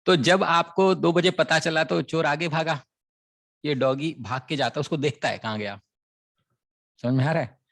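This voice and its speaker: Husky gravelly man teaching maths. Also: a little high, moderate pace, pauses often, a bit bright. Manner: explains a word problem as a story, checking often that it is understood. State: sore throat. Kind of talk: classroom lecture.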